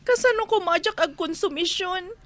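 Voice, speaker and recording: A woman's voice: very high voice, moderate pace, a bit bright. A woman playing a sorrowful young wife left by her husband, pleading tearfully in an Ilocano-accented radio drama. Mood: sad, worried.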